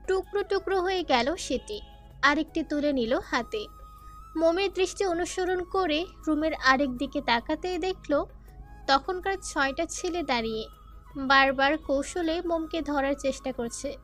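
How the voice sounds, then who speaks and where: A woman's voice: sits high, unhurried, fairly bright tone. A woman narrator reading a scripted dramatic story. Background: faint music.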